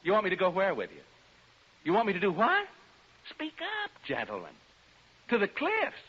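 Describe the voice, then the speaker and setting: A high, wildly swooping radio DJ trapped in a haunted house, fraying at the edges. He stammers and repeats himself in an old radio horror play, on a muffled vintage recording. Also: wildly swooping pitch, moderate pace, breathy edge, exaggerated voice. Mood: hysterical, unhinged. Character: nervous radio DJ broadcasting from a haunted house, cracking up. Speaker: radio announcer. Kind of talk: 1940s radio acting.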